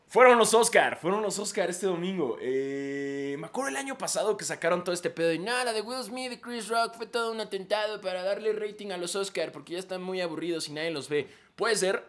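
A man's voice: sits high, lively intonation, moderate pace, a bit bright. A man in his thirties with a Mexican accent, hosting a podcast and giving casual, profanity-laced advice, throwing in slang after almost every phrase. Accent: Mexican Spanish. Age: 30s. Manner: swears freely and throws in "güey" constantly. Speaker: podcast host.

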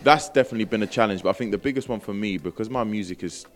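A man's voice: on the low side, very fast. A man from Britain, bilingual in Greek and English, talking casually and reflectively as a musician being interviewed, with plenty of slang.

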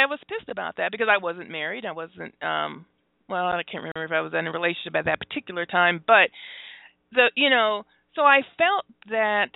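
A woman in her forties hosting a phone-in radio talk show, talking openly about her own life with many pauses, fillers and laughs. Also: medium pitch, vocal fry, no room sound. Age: 40s. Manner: open and confessional, halting with fillers, laughs often. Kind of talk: candid phone-in radio chat. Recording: dry, tinny phone-like sound.

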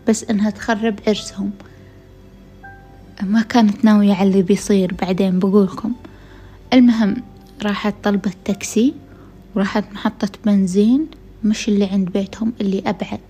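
A woman's voice: mid-range voice, dark tone. A woman with a Gulf Arabic accent hosts a podcast, casually telling a true story straight to listeners, her voice tired from being unwell. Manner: conversational, addresses listeners directly. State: tired voice from a week of illness. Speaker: podcast host.

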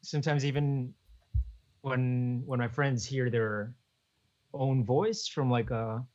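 A young man, co-hosting a podcast in relaxed, chuckling casual chat. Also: mid-range voice, takes it slowly. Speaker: podcast co-host. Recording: dull lo-fi podcast audio.

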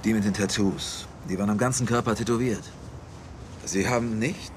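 A man playing a brisk, impatient government agent in a German screen dub, curt and clipped. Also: fairly low pitch, steady tone, background noise, close to an everyday voice.

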